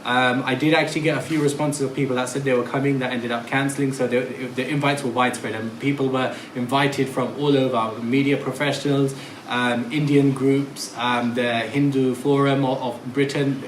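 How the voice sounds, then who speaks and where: A man's voice: medium pitch, level tone, normal speed, hardly any pauses, a little hiss or hum. Male moderator, businesslike, echoey hall. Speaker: moderator of the forum. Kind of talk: moderating a panel discussion.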